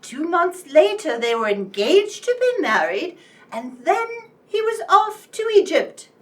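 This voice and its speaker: A woman's voice: very high-pitched, very animated, a little slow, fairly bright tone, recorded from a distance, full character voice. A woman playing an anxious, genteel upper-class wife confiding dramatically in a radio play. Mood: worried, confiding.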